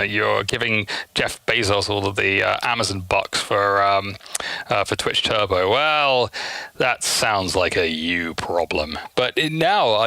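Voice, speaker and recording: A man's voice: mid-range voice, vocal fry, sharp tone, no echo. A man hosting a livestream, chatting casually to his audience in a sweary, effusive, theatrically exasperated way. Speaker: livestream host.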